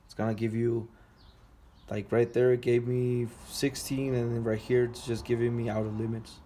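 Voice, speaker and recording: A man's voice: mid-range voice, little pitch movement, moderate pace, warm tone, a little hiss or hum. A man walking through a car sensor test in a hands-on repair tutorial, talking casually step by step while he works in a garage. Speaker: auto mechanic.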